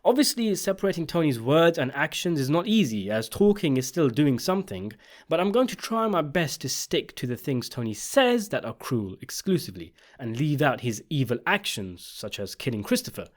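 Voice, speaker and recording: A man delivering scripted video essay commentary on a TV series, in an emphatic, opinionated way. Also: fairly high pitch. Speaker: video essayist and channel host.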